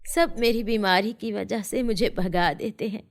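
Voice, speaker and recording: A woman voicing a poor, sick old beggar woman in an animated fairy tale, kindly, pleading and full of blessings. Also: mid-range voice, measured pace, natural voice. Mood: grateful, kindly.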